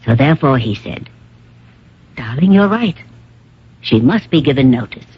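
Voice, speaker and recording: An actress playing an elderly, poised and theatrical stage star with a condescending manner in a radio drama. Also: female voice, extremely low pitch, lively intonation, moderate pace, breathy edge, full character voice. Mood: haughty. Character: elderly stage actress, poised, grandly self-possessed wife. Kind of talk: 1970s-80s radio drama acting. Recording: dull lo-fi old radio recording.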